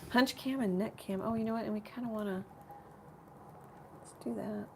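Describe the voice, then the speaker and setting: A woman with a slightly rough voice hosting a casual live painting tutorial, thinking aloud in a rambling way as she works. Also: medium pitch, moderate pace, dark tone, slight background noise. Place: home art studio.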